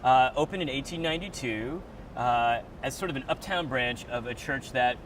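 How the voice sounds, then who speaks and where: Guy giving a casual walking tour, normal pitch, bit far from the mic, city noise behind him. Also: moderate pace, fairly bright tone. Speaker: tour host and interviewer. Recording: off-mic, clear street and traffic noise.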